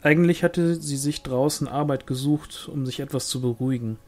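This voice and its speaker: A man's voice: mid-range voice, normal speed, darkish tone, soft background music. A man reading a novel aloud as scripted audiobook narration. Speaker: audiobook reader.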